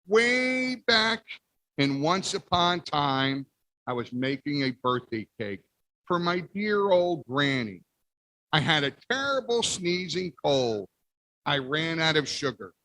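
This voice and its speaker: A man reading a picture book aloud as the wolf, playful with big pauses. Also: fairly high pitch, very animated, pushed and bright, no echo. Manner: playful, exaggerated sneezes and storyteller pauses. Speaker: guest reader. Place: library event.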